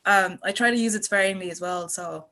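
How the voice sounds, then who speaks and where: A woman's voice: medium pitch, fairly quick, pushed and bright. A woman in her twenties from Malaysia chatting casually on a podcast, effusive and rambling as she tells her stories. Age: 20s. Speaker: podcast guest and student contributor.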